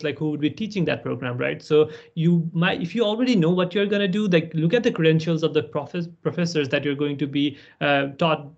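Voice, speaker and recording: A man in his twenties from Nepal gives relaxed advice on a video call. His voice sits a little high, and the sound is dull and muffled. He talks loosely, with filler tags like "like" and "right?". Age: 20s. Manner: chatty, many "like" and "right?" tags. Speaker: alumni panelist.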